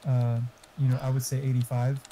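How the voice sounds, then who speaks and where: A man's voice: medium pitch, completely flat delivery, brisk, mellow, a little hiss or hum. A man in casual gaming voice chat, giving opinionated, informal tech advice with some swearing. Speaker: gamer giving computer hardware advice.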